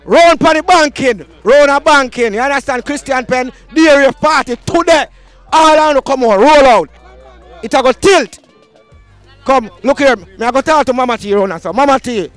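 Yo jamaican guy hollering at a market on a handheld mic, high voice, faint music under it. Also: normal speed, dry recording. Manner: hyped, shouting slogans, Patois banter. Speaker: street market host. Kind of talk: roving market vox pop with a handheld mic. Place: outdoor street market.

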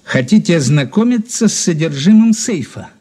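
An actor playing a deferential investigator's aide in a radio play, reporting in a factual, official way. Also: male voice, a little high, expressive, moderate pace, mellow. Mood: businesslike. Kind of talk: radio drama acting.

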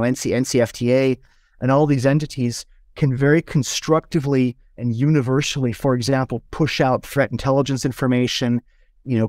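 A man's voice: medium pitch, darkish tone, no room sound, clean studio sound. Swiss man hosting a talk. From Switzerland. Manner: hesitant, restarts and stacks up phrases. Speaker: podcast host. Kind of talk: conversational interview.

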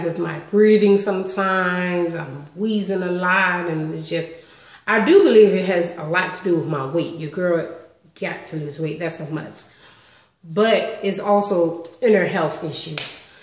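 A woman in her thirties chatting casually on a home vlog, animated and talkative, recorded on a webcam in her living room. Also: fairly low pitch, reverberant. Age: 30s. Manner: chatty, animated with lots of hand gestures. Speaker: vlogger. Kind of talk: casual story-time vlog. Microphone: webcam close up.

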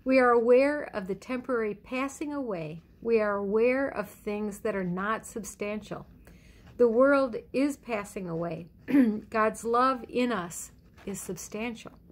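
A middle-aged woman pastor giving a conversational sermon at home in a measured, reflective way with deliberate pauses. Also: on the high side. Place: indoors in a living room at home. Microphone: phone or webcam at arm's length.

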